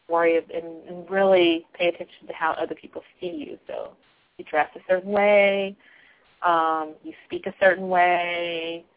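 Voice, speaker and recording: A soft-spoken woman talking reflectively in a casual group discussion, laughing now and then. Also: lowish voice. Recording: tinny phone-like line.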